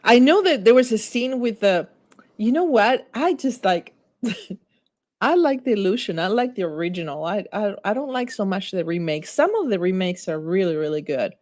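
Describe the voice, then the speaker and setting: A woman's voice: mid-range voice, expressive, moderate pace, a little mellow, no echo. A woman from Argentina, a native Spanish speaker with an Argentine accent, chatting as a podcast guest. She is a little tipsy and giggles often while she talks. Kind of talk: casual chat.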